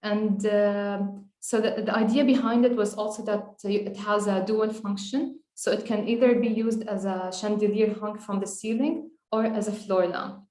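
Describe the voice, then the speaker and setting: A woman's voice: mid-range voice, flat delivery, normal speed, few pauses, dark tone, reverberant. A young woman from Syria, an architect, presenting her winning design on a video call, hesitant with many fillers. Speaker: architect and past prize winner presenting her work. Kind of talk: presenting slides in a webinar.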